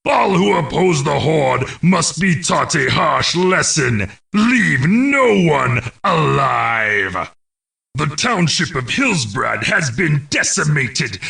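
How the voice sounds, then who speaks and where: A man's voice: fairly high pitch, pushed and bright, recorded from a distance, exaggerated voice. A man playing a grim, imperious orc who narrates fantasy game war briefings in a growling, commanding, theatrical way. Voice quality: gravelly, growling.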